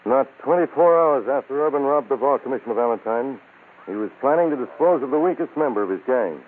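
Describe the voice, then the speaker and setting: An actor playing an earnest American police lieutenant who reports a case in radio crime drama narration. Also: male voice, mid-range voice, expressive, fast talker, close to an everyday voice. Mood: earnest, reporting. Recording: tinny old radio recording.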